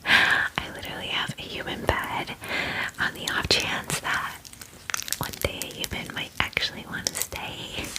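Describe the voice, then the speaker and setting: A woman playing a friendly, caring dragon in a whispered ASMR roleplay, speaking softly and breathily in a gentle, chatty, hesitant way. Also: lightly acted, rough recording. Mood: soothing, friendly. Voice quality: soft, breathy, mostly unvoiced whisper. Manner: gentle, unhurried, chatty and casual with hesitant fillers. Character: friendly, eager, caring female dragon pampering a guest.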